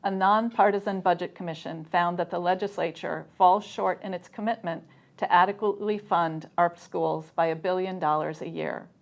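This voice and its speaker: Female debate speaker, slightly low pitch, formal and prepared delivery. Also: fairly even intonation, normal speed, vocal fry, dull audio.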